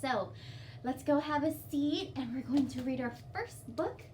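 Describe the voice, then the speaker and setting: A woman's voice: sits high, lively intonation, unhurried, darkish tone. A woman hosting story time for young children, reading a picture book aloud with simple, encouraging explanations and questions. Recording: distant mic, faint background noise.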